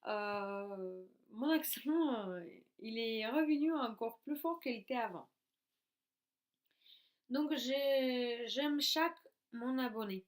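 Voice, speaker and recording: A woman's voice: on the high side, takes it slowly, pauses often. A woman in her thirties from Russia with a Russian accent, chatting casually to camera at home, hesitant with many fillers and restarts. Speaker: YouTuber. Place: at home in her craft room.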